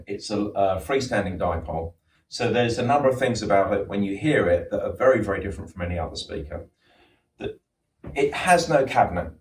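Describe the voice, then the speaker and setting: Middle-aged man, low voice, enthusiastic showroom demo, distant echoey mic. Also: a little mellow. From England. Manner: enthusiastic, explanatory, with frequent restarts and ums. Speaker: home cinema designer and dealer. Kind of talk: showroom product walkthrough. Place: large showroom. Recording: roomy echo, distant mic.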